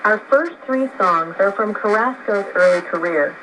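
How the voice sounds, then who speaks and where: A woman's voice: medium pitch, animated, moderate pace. A woman hosting a radio program, reading scripted narration, heard through a noisy shortwave broadcast.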